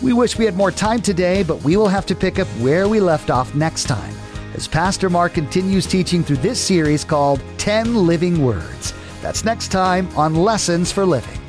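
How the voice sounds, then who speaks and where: A man's voice: high-pitched, animated, moderate pace. A male radio announcer reading a scripted summary of the day's program and a preview of the next one. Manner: smooth announcer delivery. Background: soft music bed.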